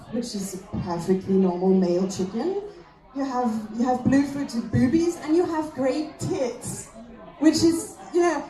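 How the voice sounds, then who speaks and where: An echoey live recording of a woman comedian on stage. She from her German and a fairly high, soft-edged voice. Her delivery is conversational and self-deprecating, with halting pauses. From Austria. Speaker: stand-up comedian. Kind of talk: live stand-up comedy routine.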